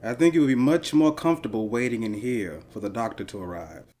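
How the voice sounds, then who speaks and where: A man voicing a spindly, condescending castle guard in a dark fairy tale, mock-polite and sneering. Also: mid-range voice, a little fast, lightly acted.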